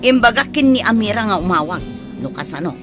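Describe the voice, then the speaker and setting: Actress as a bossy scheming woman, Ilocano accent, medium pitch, radio drama, music behind. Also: lively intonation, fairly quick, lightly acted. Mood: conspiring.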